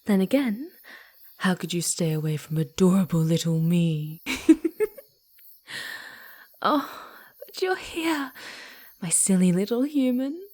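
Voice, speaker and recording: Young female fox spirit, very animated intonation, slow pacing, cutesy, mischievous, affectionate, ASMR roleplay. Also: lowish voice. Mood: joyful, teasing, then warm, fussing. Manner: giggly, cutesy, teasing, often hesitant.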